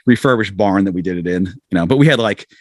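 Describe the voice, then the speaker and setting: Fast-talking, low-pitched man telling band stories. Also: no room sound, studio quality. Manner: animated storytelling, acting out quotes, self-deprecating. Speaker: musician, podcast guest. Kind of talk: casual anecdotal interview chat.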